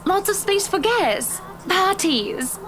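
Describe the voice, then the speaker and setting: Wealthy socialite wife, visual novel: high voice, polished, polite, used to giving orders. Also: female voice, sing-song, slow, exaggerated voice. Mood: gracious. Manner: genteel, commanding, terms of endearment.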